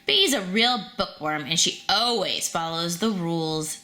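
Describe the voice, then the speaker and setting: A woman reading a children's storybook aloud in an animated, expressive storytelling manner. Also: on the low side, unhurried. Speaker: storybook reader.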